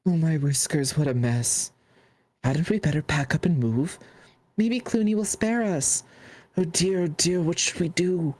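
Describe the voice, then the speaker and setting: A man's voice: on the high side, measured pace, a little mellow, pitched above the performer's own voice. Man doing a panicky little storybook mouse. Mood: panicked. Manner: fretting, wringing-hands worry. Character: frightened small mouse, panicky and fretful.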